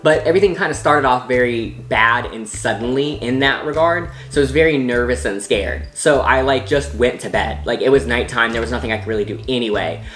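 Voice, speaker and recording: A man's voice: mid-range voice, fairly quick, hardly any pauses, a bit bright. A young American man talking casually to camera as a travel vlogger, rambling with lots of restarts and fillers. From United States. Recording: roomy echo with faint music.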